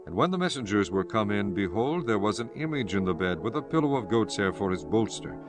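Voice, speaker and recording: Casting: male narrator for dramatized scripture, low voice, solemn storytelling, faint music bed. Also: very animated, brisk.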